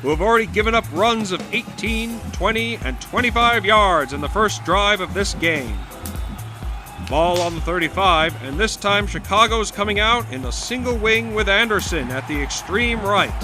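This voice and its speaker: High-pitched 1920s radio football announcer. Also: male voice, animated, moderate pace, music in the background. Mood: brisk, engaged. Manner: florid, dramatic phrasing. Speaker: play-by-play announcer. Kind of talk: 1920s radio sports commentary.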